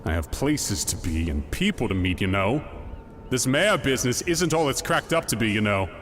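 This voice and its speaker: A man's voice: mid-range voice, animated, moderate pace, fairly bright tone, slight background noise, close to an everyday voice. A man playing a pompous, touchy gangster in an audio drama, wordy and sarcastic. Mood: smug. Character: pompous, touchy male gangster with mayoral ambitions. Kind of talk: fan-made audio drama acting.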